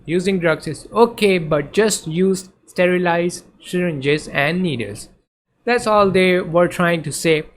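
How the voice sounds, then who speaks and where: A male tutor whose first language is Urdu talks through a lesson in a somewhat high voice, stopping often and restarting his sentences. A faint hiss of noise runs behind him. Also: slow. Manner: hesitant, many fillers and restarts. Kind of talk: casual lecture explaining slides.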